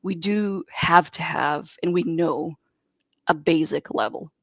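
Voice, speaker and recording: A woman from Brazil, a native Portuguese speaker, speaking as a software engineer guest in an online interview and giving earnest, expansive advice. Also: low voice, slow pace, no echo. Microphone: video call. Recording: dull dry video call audio.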